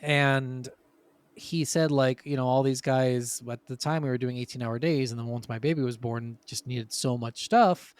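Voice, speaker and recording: A man's voice: medium pitch, steady tone, normal speed, clean tone, no room sound. Man, dry close recording, mid-range voice, podcast co-host, casual anecdotal storytelling, informal phrasing. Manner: informal, acts out quotes conversationally.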